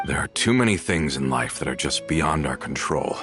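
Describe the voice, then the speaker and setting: A man voicing a defeated, resigned former gang member in a video game, speaking slowly. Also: rumbling low, animated, over music. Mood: somber, resigned.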